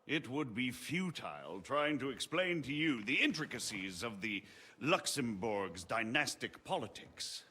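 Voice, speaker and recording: An actor playing a shrewd, haughty margrave who speaks in formal, measured, eloquent phrases in a video game drama. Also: male voice, medium pitch, sing-song, pushed and bright, husky, full character voice. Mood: smoothly defensive. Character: shrewd, haughty noble margrave, smooth political schemer.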